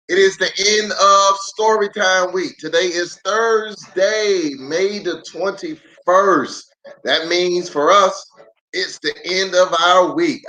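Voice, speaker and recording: A man speaking as a pastor hosting a children's storytime, unhurried and animated, chatting with viewers and drawing out words for emphasis. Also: fairly high pitch, poor audio. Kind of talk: livestream greeting and read-aloud storytelling.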